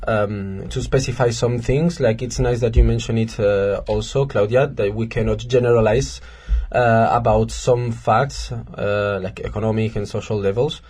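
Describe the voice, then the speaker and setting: A young man from Spain joins a casual radio talk as a volunteer guest. He speaks haltingly, stopping and restarting his sentences, with his pitch in an everyday middle range for a man. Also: fairly even intonation, warm tone. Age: young adult. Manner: halting, many fillers and restarts.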